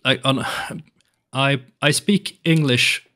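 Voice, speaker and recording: A man's voice: mid-range voice, slow pace, pushed and bright. Young Swedish man, hesitant speech. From Sweden. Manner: hesitant, many restarts and ums. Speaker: podcast guest. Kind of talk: casual interview chat. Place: home room with shelves and colored lights. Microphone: large studio mic on arm, headphones.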